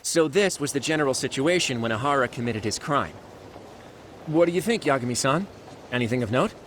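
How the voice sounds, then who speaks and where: A man's voice: medium pitch, lively intonation, fairly quick, fairly bright tone, a little hiss or hum, lightly acted. An actor playing a man who briefs his detective partner on a case in a game cutscene, in an explanatory tone. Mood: explaining. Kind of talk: video game cutscene voice acting. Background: background music.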